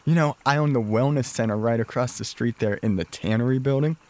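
An actor playing an effusive, laid-back hippie dude in an audio drama, gushing in drawn-out bro talk. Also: male voice, mid-range voice, darkish tone, lightly acted. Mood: breezy, friendly.

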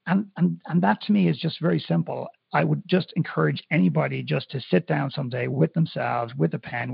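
A man's voice: fairly high pitch, creaky, breathy edge, no echo, highs cut off. A man from Ireland, a coach on a podcast, talking earnestly and emphatically with many fillers and restarts over a remote call. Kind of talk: reflective motivational talk.

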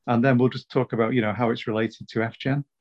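A man hosting a podcast, asking interview questions in a friendly, measured way. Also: medium pitch, level tone, muffled recording.

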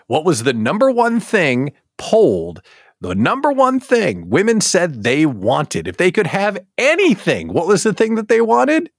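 Animated male host, big swings in pitch. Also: dry recording, clean studio sound. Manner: animated, theatrical, with mock disbelief and exaggerated emphasis. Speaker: host of a daily live show for small business owners. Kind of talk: casual live monologue to viewers.

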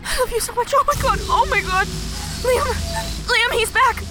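A woman's voice: extremely high pitch, moderate pace. A woman playing a distraught, urgent and pleading young woman in an audio drama. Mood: overwhelmed, crying out. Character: distraught, frantic young woman confronting a killing.

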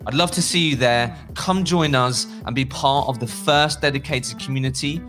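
A man around thirty hosting a podcast, pitching a community and then interviewing a guest with deliberate, upbeat delivery. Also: over music. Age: about 30. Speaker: podcast host.

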